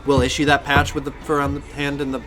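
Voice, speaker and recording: A man's voice: mid-range voice, monotone, fast talker, fairly bright tone, faint music behind. A man co-hosting a podcast, chatting casually about video games. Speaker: podcast co-host.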